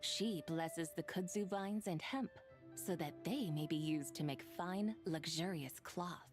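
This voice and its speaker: A woman's voice: fairly low pitch, lightly acted. Stately, aloof immortal maiden voiced by a woman. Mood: reverent, solemn. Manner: formal, stately, measured. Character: proud, serene female immortal moon-maiden, aloof toward mortals. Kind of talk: scripted video game dialogue and poem recitation. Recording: clean studio voice over game music.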